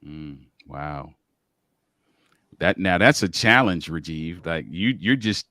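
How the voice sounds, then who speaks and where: A male podcast host with a very low, deep voice steers the interview with eager questions, often repeating words as he restarts. He sums up his guest's ideas back to him. The sound is dry and close, with no room echo. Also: fairly bright tone. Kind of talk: interview hosting.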